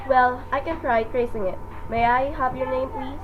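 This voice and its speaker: An actress playing an unruffled chief telephone operator in a radio drama, formal, polite and procedural. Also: female voice, high voice, steady tone, moderate pace, dull audio, a little hiss or hum, natural voice. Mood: officious, polite.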